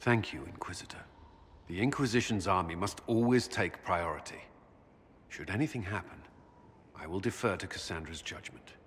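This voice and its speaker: An actor playing an earnest, dutiful military commander in a video game, speaking in a measured, formal, guarded way. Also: male voice, sits low, fairly bright tone, big-room sound, slight background noise. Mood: solemn, resolute. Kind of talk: video game cutscene acting.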